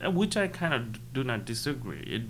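A young man from Nepal speaks, his being Khas. He answers in a thoughtful, halting way, full of fillers and restarts, at a middle pitch. A faint hum runs under the recording. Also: sing-song, poor audio. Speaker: interviewee. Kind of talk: casual interview.

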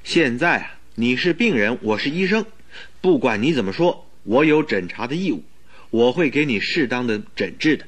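Radio drama casting: loyal, worried doctor, lively swooping delivery, husky, insistent. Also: male voice, medium pitch, normal speed. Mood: insistent, indignant. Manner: earnest, questioning. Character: concerned, insistent male doctor, loyal friend.

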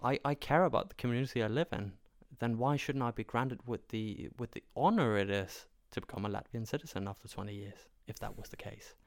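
Danish guy arguing his opinions in a chat, emphatic, smooth clear voice, really dry sound. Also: mid-range voice, fairly quick, few pauses, no echo. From Denmark. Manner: emphatic, argumentative, repeats points in parallel phrases. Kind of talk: opinionated conversational discussion.

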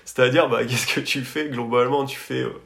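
Man with a creaky voice, casual storytelling. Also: mid-range voice, steady tone, fairly quick, a bit bright. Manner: hesitant, colloquial, frequent verbal tags. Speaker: architect, podcast guest. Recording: roomy echoing sound.

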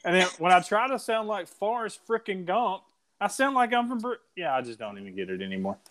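A man's voice: high voice, big pitch swings, fairly quick, a bit bright, no room sound. A man co-hosting a podcast in casual chat, joking, teasing and laughing often. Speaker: podcast co-host.

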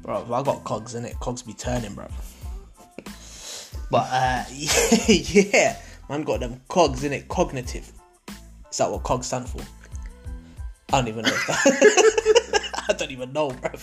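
A man's voice: mid-range voice, big pitch swings, unhurried, long pauses, soft background music. Young man hosting casually. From Portugal. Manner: laid-back slangy banter, laughs often. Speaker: podcast host. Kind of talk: casual podcast chat.

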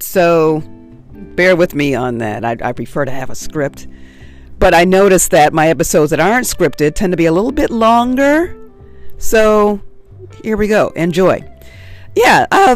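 A woman with a nasal voice talks frankly and unscripted as she hosts her own podcast, telling personal stories in a chatty way. Faint music sits underneath. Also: on the low side. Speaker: podcast host.

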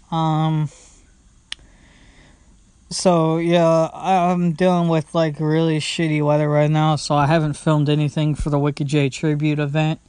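A man's voice: a little high, darkish tone, clear, resonant tone, no room sound. A young man hosting his own casual podcast from home, rambling with lots of fillers, restarts and "blah, blah, blah". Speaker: podcast host. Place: at home, sitting indoors.

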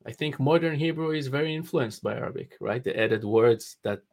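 A man's voice: medium pitch, a little fast, darkish tone. A man speaking as a panelist, tentative and hesitant, self-deprecating about his points. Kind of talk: casual online panel discussion.

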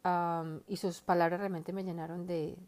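A woman's voice: lowish voice, level tone, moderate pace, darkish tone. A woman hosting her own podcast in a casual, earnest and heartfelt monologue, rambling with lots of fillers. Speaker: podcast host.

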